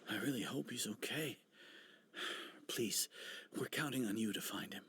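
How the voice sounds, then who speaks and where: A man's voice: mid-range voice, normal speed, fairly bright tone, slight background noise, natural voice. An actor playing an earnest, anxious male villager in a video game, fond and worried. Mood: pleading. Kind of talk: video game character acting.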